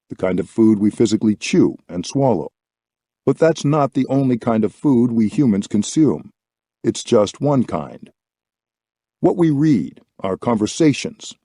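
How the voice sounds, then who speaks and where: A man reading a reflective book as scripted narration, with measured, deliberate phrasing. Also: on the low side, dark tone, dry recording, clean studio sound. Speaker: audiobook reader.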